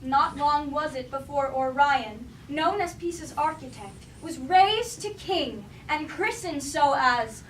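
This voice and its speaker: A woman playing a solemn Greek chorus member, reciting a war legend in measured verse in an echoey room. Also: sits high, lively intonation, crowd noise. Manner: measured, declamatory verse delivery. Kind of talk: staged reading of verse tragedy. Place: indoor room at a live staged reading. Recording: echoey room from far off, noisy.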